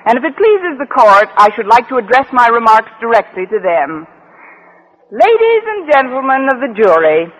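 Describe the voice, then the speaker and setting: An actress playing a wry, quick-witted high school teacher in an old radio comedy, tossing out dry wisecracks. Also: female voice, very animated. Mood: mock formal. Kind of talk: 1940s-50s radio comedy acting. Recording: slightly noisy old radio recording.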